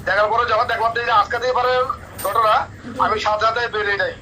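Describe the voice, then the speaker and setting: Middle-aged male caller, high, flat delivery, halting repetitive phrasing. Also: a little hiss or hum, rough recording. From Cumilla, Bangladesh. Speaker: remote interview guest. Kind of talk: unscripted recounting.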